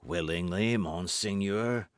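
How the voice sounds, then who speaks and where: A man voicing a grim, calm executioner who speaks slowly and gravely. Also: low voice, dry recording, clean studio sound. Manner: slow, grave, deliberate. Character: grim executioner in red cloak, calm and pious.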